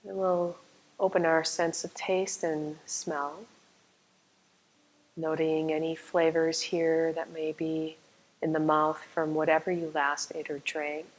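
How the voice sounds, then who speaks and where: A woman leading a guided meditation as a yoga nidra teacher, speaking calmly and soothingly with long, unhurried pauses. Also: deep voice, low-quality recording.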